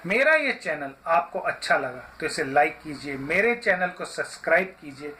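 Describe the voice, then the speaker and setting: A man giving an instructional talk as a voice therapist, deliberately, phrase by phrase with frequent pauses. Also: fairly high pitch, lively intonation, sharp tone, slight background noise, low-quality recording. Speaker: voice therapist and yoga therapist teaching.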